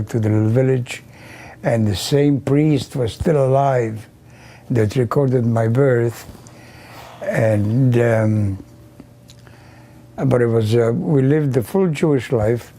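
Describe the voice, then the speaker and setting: An older man, Yiddish his mother tongue, tells his life story at a very slow pace, pausing with ums and restarting words. His voice is dark and a little husky. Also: medium pitch. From Carpathian region of Czechoslovakia. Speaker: interviewee. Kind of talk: oral history interview.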